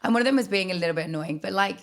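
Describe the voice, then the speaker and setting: A young Australian woman co-hosting a casual podcast, with a croaky voice and lively storytelling. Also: mid-range voice, rapid-fire. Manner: chatty storytelling, animated quoting. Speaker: podcast co-host. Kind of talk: casual chat.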